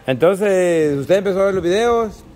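A man's voice: high-pitched, lively intonation, moderate pace. A man hosting a casual, joking chat with visitors. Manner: teasing, joking. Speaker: host. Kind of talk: casual banter. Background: crowd chatter.

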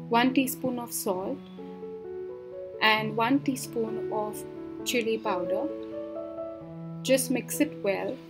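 A young woman with a fairly high voice walks through home meal prep step by step, calm and plain. She talks as a voice-over, and clear background music plays under her. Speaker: cooking vlogger. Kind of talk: instructional cooking voice-over. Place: home kitchen, indoors.

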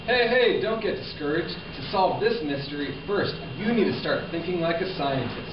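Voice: mid-range voice, expressive, moderate pace, noisy background, natural voice. A performer voicing a confident, encouraging male scorpionfish who explains things in an educational puppet show. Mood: instructive. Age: young adult. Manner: explanatory, assured. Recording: echoey room, distant mic, muffled sound.

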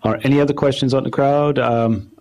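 A man's voice: mid-range voice, fairly even intonation, darkish tone. Man moderating a club Q&A. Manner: brisk, relays audience questions. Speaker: meeting moderator. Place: club meeting room.

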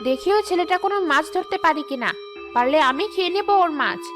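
A greedy, mischievous tree ghost acted by a woman speaks in a piercingly high female voice. She schemes, grumbles and boasts in cartoon fashion over clear background music. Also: expressive, fast talker. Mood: greedy scheming. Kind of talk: cartoon character acting.

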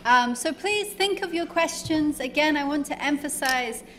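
A woman's voice: high voice, animated, normal speed, pushed and bright. A woman chairing a lecture event, talking in a chatty, informal way to the audience. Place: lecture theatre stage. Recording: lecture hall audio with background noise, from afar.

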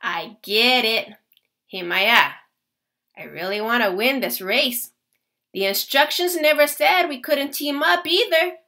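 A woman's voice: on the high side, slow, bright, forceful tone, full character voice. A woman voicing an eager, practical schoolboy in a read-aloud storybook. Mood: hesitant.

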